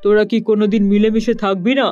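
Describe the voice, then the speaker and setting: A man's voice: sits high, little pitch movement, fast, darkish tone, no echo, close to an everyday voice. A man voicing a grumbling ghost king, fed up and scolding, in a children's cartoon. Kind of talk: Bengali children's cartoon voice acting. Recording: dull lo-fi sound, music behind.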